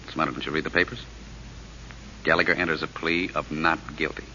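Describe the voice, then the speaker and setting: An actor playing a tough, guarded gangster prisoner in an old radio drama, with clipped slangy talk. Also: male voice, very deep voice, fairly even intonation, fast, pushed and bright, full character voice. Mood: hard, dismissive. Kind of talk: 1940s radio drama acting. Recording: dull, hissy old radio recording.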